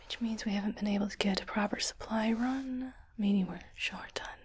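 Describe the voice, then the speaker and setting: A woman playing a calm, reassuring sci-fi doctor in a hushed, soothing whispered ASMR roleplay. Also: mid-range voice, slight background noise, close to an everyday voice. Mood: soothing explanation. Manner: slow, soothing, hushed delivery. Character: calm, reassuring female doctor on an alien-planet expedition.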